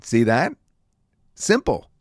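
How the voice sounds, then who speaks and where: A man reviewing and demonstrating software in a casual, rambling way with many fillers. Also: mid-range voice, wildly swooping pitch, slow pace, no echo, studio quality. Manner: casual, filler-heavy talk. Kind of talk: screen-recorded software demo.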